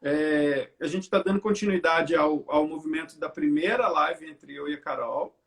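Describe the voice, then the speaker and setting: A man from Belo Horizonte hosts a casual live video chat and keeps things loose and conversational. His voice sits noticeably high for a man. It comes through a video call from his home. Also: normal speed. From Belo Horizonte, Brazil. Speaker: live stream host. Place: at home by a window.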